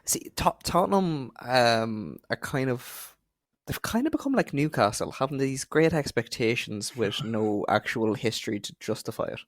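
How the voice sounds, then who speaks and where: A man's voice: mid-range voice, very animated, normal speed, no room sound, studio quality. A man co-hosting a football podcast, giving his opinions in casual, conversational talk.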